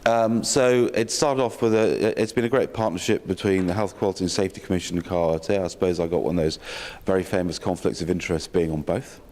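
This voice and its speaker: A man with a slightly croaky voice giving a welcome speech at a health sector session, with dry self-mocking humour and many ums and restarts. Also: low-pitched, fast talker, hardly any pauses, a little mellow. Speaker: opening speaker at a health sector learning session. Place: conference hall. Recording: long hall reverb.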